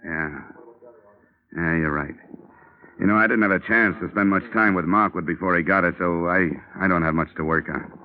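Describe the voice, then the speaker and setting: A man's voice: low-pitched, steady tone, moderate pace, slight background noise, close to an everyday voice. An actor playing a wisecracking male insurance investigator in a 1950s radio drama, with a wry, clipped tough-guy delivery. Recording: tinny old radio broadcast.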